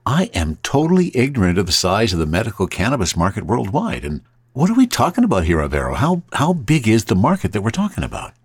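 A man's voice: fairly low pitch, huge pitch swings, fast, few pauses, darkish tone, slightly rough, no room sound. A man from Canada hosting a podcast, asking friendly, curious questions in a casual interview. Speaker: podcast host.

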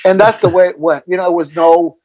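A man's voice: high voice, fairly even intonation, brisk, darkish tone, no echo. A middle-aged man from El Paso, Texas, a mindfulness coach, answering interview questions with many fillers and restarts. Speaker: guest, mindfulness coach for athletes.

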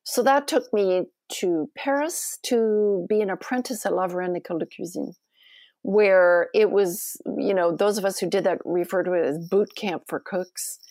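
A middle-aged American woman, a cookbook author and cooking teacher, telling lively self-deprecating stories in a soft voice during an interview. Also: medium pitch, moderate pace, dry recording. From United States. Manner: animated storytelling, self-deprecating. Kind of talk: conversational interview.

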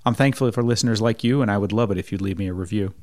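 Low-voiced male podcast host. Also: a little mellow, no room sound, studio quality. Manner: measured, reflective, hesitant questions. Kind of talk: scripted intro then casual interview.

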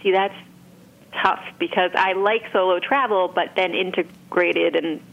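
A woman's voice: lowish voice, fairly even intonation, unhurried, highs cut off. A woman speaking as an interview guest in an informal chat, thinking aloud as she answers. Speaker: interview guest, food writer. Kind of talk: casual chat. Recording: clearly noisy background.

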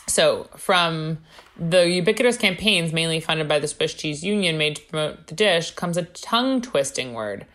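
A woman's voice: deep voice, animated, moderate pace, fairly bright tone. A woman co-hosting a casual podcast, reading from her notes with wry, jokey asides. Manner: wry, jokey, playfully conspiratorial. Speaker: podcast co-host.

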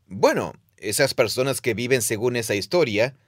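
A man's voice: mid-range voice, lively intonation, moderate pace, dry recording, clean studio sound. A man co-hosting a podcast, explaining scripture in conversation and quoting passages aloud. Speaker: podcast co-host, Bible teacher. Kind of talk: conversational teaching.

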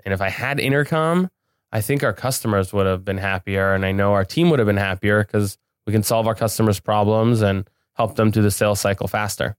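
Guy with a lowish clean voice, chatty and into it, podcast guest, real dry sound. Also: steady tone, fairly quick, smooth, no echo. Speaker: podcast guest, business owner. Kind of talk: casual interview chat.

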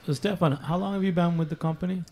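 A man's voice: sits high, steady tone, quick pace, darkish tone. A man hosting a beer talk radio show, loose and playful, often stopping to restart his sentences.

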